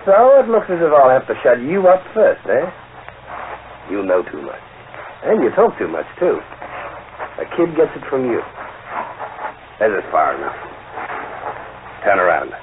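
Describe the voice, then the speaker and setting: Menacing American radio gangster, wildly swooping voice. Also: male voice, medium pitch, huge pitch swings, moderate pace, pauses often. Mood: menacing, gloating. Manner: tough, slangy, bullying. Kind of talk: 1940s radio crime drama acting. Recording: tinny, noisy old radio recording.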